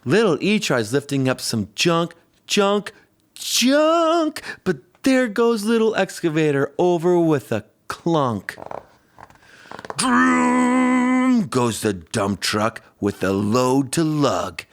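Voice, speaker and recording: A man's voice: fairly high pitch, wildly swooping pitch, slow pace, slight background noise. A man reading a rhyming children's picture book aloud, playfully stretching and stressing the sound words.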